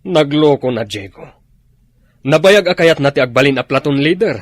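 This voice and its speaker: An actor. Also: male voice, fairly high pitch, lively intonation, normal speed, no echo. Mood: disgruntled. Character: stern male military commander on watch. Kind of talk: radio drama acting.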